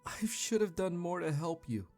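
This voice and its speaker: A man voicing an earnest, worried young son in a visual novel, soft and sincere. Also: high-pitched, big pitch swings, moderate pace, faint music behind. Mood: regretful, relieved. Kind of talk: amateur visual novel voice acting.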